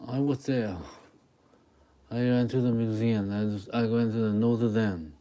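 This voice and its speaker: Slow-talking man with a Taiwanese accent. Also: mid-range voice, steady tone, dark tone, slight background noise. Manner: halting, repeats short phrases, talks to viewers. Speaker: live-stream drawing artist and host. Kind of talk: casual live-stream chat with drawing demonstration.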